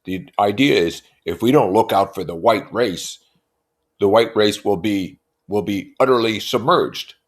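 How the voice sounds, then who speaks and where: A man's voice: on the low side, measured pace, pitched above the performer's own voice, close to an everyday voice. A man voicing a pompous, irritable, bullying rich husband from a novel in an emphatic, blustering manner.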